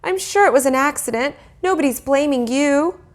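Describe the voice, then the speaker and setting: A woman voicing an emotional storybook mother who is doting yet quick-tempered, with a dramatic, fussing manner. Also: high-pitched, animated, pitched above the performer's own voice. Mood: reassuring.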